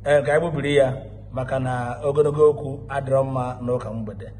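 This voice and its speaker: A man's voice: medium pitch, fairly even intonation, fast talker, mellow, slight background noise. A man with a Nigerian Igbo accent, politely asking interview questions. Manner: formal, courteous questioning.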